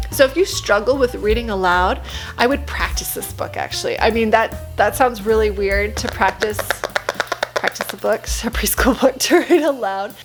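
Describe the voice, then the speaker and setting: A woman chatting casually and expressively, recommending picture books like an enthusiastic preschool teacher. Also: a little high.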